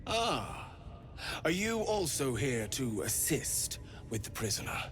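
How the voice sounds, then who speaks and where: An actor playing a serene, fervently devout priest of a pain goddess in a video game, with theatrical, courtly tenderness and a breathy voice that slips into whispers. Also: male voice, mid-range voice, sing-song, takes it slowly, a bit bright, faint music behind. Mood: unctuous, courteous. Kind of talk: video game character acting.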